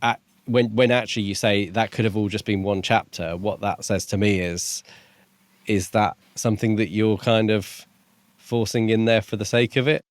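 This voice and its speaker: A man's voice: lowish voice, fairly even intonation, moderate pace, no room sound, clean studio sound. A man co-hosting a book podcast, talking through his opinions in casual discussion.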